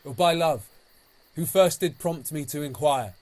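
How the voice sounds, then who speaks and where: A man's voice: a little high, a little slow, darkish tone, lightly acted. A man acting an ardent young romantic lover in a Shakespearean audio drama, with theatrical verse delivery, recorded at home on a phone.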